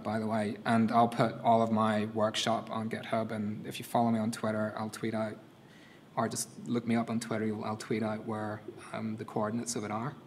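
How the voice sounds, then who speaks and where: A middle-aged man with a non-North American accent, giving a casual, halting live coding demo at a conference lectern, with many fillers and restarts. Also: fairly low pitch, slight background noise. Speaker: conference workshop presenter. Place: conference room. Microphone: lectern mic.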